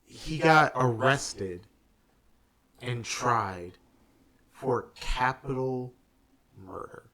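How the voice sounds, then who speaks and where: A man's voice: mid-range voice, animated, drawn-out words, sharp tone. A young man co-hosting a casual chat, explaining things thoughtfully with trailing fillers. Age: young adult. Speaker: podcast co-host. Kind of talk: casual chat about games and movies.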